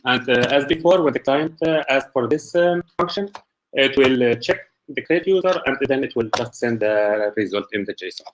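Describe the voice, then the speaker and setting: A man from Syria giving a technical presentation as a student, speaking haltingly and searching for words in English. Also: medium pitch, animated, low-quality recording.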